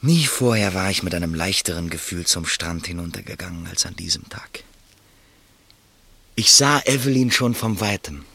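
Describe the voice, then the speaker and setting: A man narrating a radio play in first person, calm and reflective. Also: sits low, normal speed, gravelly, husky, no echo.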